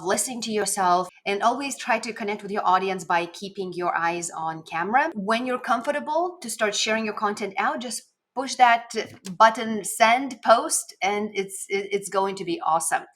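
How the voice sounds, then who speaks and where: A woman with a Lithuanian accent speaks at a middle pitch in a casual instructional vlog. She is conversational and encouraging, leaning on important words as a coach would. She records at her desk in a home office. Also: lively intonation, normal speed, fairly bright tone. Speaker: video coach and host. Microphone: desk microphone at a webcam setup.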